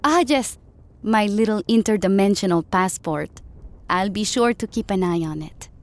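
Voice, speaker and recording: A woman's voice: medium pitch, normal speed, close to an everyday voice. A woman playing a proud, candid South American engineer and former dancer in an audiobook, with a South American Spanish accent. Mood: eager. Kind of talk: audiobook character acting. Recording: music behind the voice.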